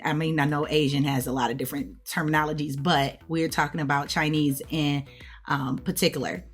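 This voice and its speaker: A woman's voice: low voice, a bit bright. An American woman talking casually to viewers as a language learner, explaining things conversationally with frequent little check-ins like okay and right. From United States. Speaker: language learner hosting a video channel.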